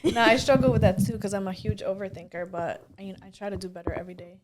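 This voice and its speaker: A woman's voice: medium pitch, fairly even intonation, fast talker, very noisy. A woman co-hosting a podcast, earnestly explaining her point in casual chat. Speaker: podcast co-host.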